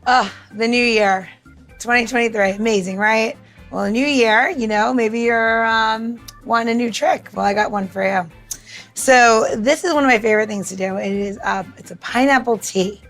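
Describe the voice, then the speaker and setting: A woman's voice: on the high side, normal speed, fairly bright tone, faint music behind. A woman podcast host giving casual, playful instructions in an informal way. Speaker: podcast host, drink mixer.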